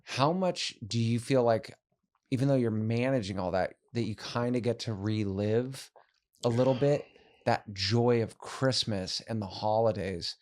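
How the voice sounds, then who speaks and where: A man's voice: mid-range voice, a little slow, darkish tone. A man co-hosting a casual podcast, asking curious, thoughtful, long winding questions.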